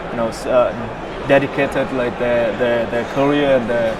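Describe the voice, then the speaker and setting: A young man from Vietnam, a startup founder, answering interview questions in a halting way with many fillers and repeated words. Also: medium pitch, steady tone, very noisy.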